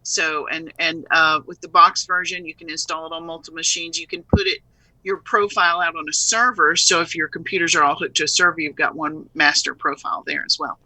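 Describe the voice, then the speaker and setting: A woman speaking as a guest in a casual live video interview, lively and playful in manner. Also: sits low, brisk, no echo, poor audio. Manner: lively, playful, laughs easily. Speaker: software trainer and company head.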